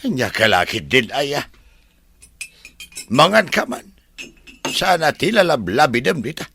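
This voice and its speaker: A man's voice: medium pitch, big pitch swings, unhurried, vocal fry, bright, forceful tone, a little hiss or hum, heavily acted. An actor playing a kindly middle-aged father who stands up for his daughter in an Ilocano radio drama. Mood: chiding.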